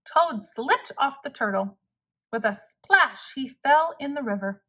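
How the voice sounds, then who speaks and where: A woman narrating a children's storybook aloud in a measured, clearly phrased way. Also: sits high, expressive, bright, forceful tone. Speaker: storybook reader.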